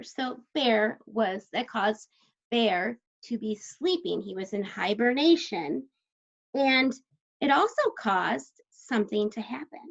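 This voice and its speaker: Female primary teacher for a children's story lesson: unhurried, questioning, encouraging delivery with creaky edges, dull lo-fi sound. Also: on the high side. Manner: instructional, questioning, pauses for answers, encouraging. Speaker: elementary school teacher. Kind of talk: read-aloud lesson talk to young children.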